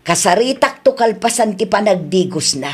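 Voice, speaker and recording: Woman as caring mother, middle-aged, Ilocano Filipino accent, animated melody, radio drama. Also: mid-range voice, normal speed, slightly rough. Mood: reassuring.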